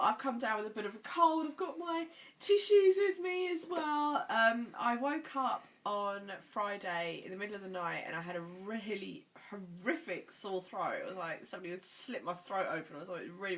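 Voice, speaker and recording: A woman vlogging in a chatty, animated way, her voice stuffy and congested from a cold. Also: mid-range voice, moderate pace. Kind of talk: casual chat.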